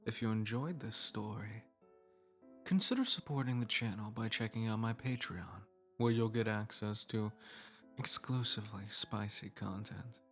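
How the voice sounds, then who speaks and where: Low-voiced man reading an outro over music. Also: normal speed, fairly bright tone. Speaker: channel host and voice actor. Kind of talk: scripted outro with channel plug. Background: music under the voice.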